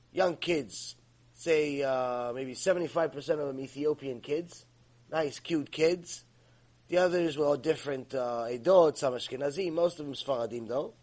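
Animated rabbi with a higher voice. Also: male voice, slightly rough. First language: Hebrew. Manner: animated, repeats words, fillers. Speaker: Torah teacher. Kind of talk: Torah lecture.

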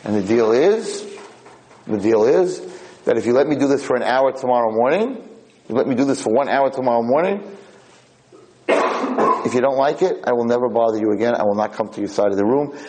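A man's voice: mid-range voice, expressive, fairly quick, pauses often, darkish tone, a little hiss or hum, natural voice, rough recording. A good-natured old fellow, acted out by a male storyteller, earnest and apologetic. He speaks in an everyday mid-range voice and trips over his words when flustered. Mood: earnest, persuasive. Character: happy-go-lucky, kindly, apologetic elderly nursing home resident.

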